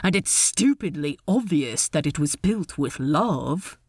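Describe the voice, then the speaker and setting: Woman putting on Ed, a low-voiced blunt pirate man. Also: animated, takes it slowly, darkish tone, breathy edge, dry recording, studio quality, full character voice. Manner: casual, blunt, low-key. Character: blunt, laid-back male pirate, sincere underneath.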